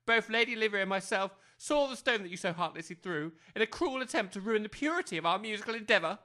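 A man's voice: sits high, lively intonation, quick pace, bright, forceful tone. Pompous village major, acted by a man. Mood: pompous, accusing. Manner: pompous, florid, formal wording. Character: pompous, easily outraged male village major. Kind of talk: audio comedy drama acting.

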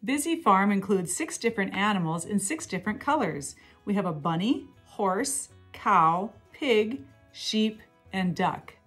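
A middle-aged woman demonstrating educational toys at a table in a small room, explaining each step clearly and cheerfully, with faint music behind her. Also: medium pitch, expressive, unhurried, fairly bright tone. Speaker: toy seller demonstrating products. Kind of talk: product demonstration.

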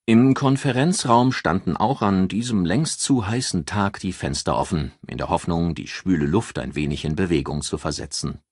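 Male novel narrator: very low, husky voice, measured scripted read, dry recording. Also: a little mellow, no echo.

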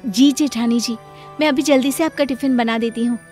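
A simple, gentle young daughter-in-law from an animated family story , acted by a woman. The voice is very high and deferential, with music underneath. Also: brisk, lightly acted. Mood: obliging.

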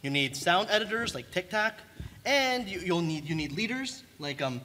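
A man explaining things on a panel, halting and stumbling over his words. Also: sits high, big pitch swings, pushed and bright. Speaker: panelist, artist. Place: convention panel room. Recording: noisy room ambience.

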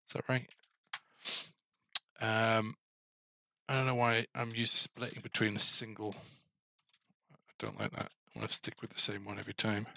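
A man's voice: on the low side, level tone, normal speed, creaky, pauses often, pushed and bright, no room sound. A middle-aged man giving casual, mildly grumbling, self-deprecating commentary as he codes a game, on a headset mic. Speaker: game developer.

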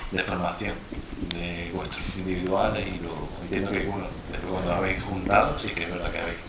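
Low male interviewer in loud noise. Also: level tone, fast talker. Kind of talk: informal interview hosting. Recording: roomy echo, loud background noise.

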